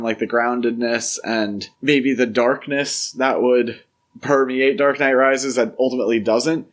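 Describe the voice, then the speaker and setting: A man with an average-pitched voice talks as a podcast co-host. His delivery is conversational and informal, full of little verbal fillers as he discusses films. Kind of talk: casual film discussion.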